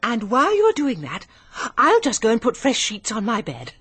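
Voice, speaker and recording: Timid genteel english companion lady, high breathy-husky voice, fussy, radio drama. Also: huge pitch swings, moderate pace, fairly bright tone, no echo, exaggerated voice. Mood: anxious, deferential. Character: timid, genteel, eager-to-please lady's companion. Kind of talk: British radio mystery drama acting.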